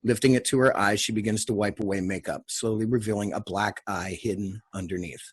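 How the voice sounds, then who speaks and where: A man reading screenplay stage directions at a table read, in measured, short clipped phrases. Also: fairly low pitch, fairly even intonation, breathy edge.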